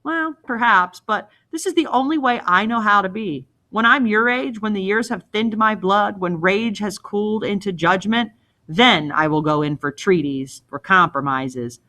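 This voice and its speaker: A woman's voice: mid-range voice, normal speed, sharp tone, natural voice. A woman voicing a bold, reckless young male hero in a storybook reading, earnest and defiant. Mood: resolute.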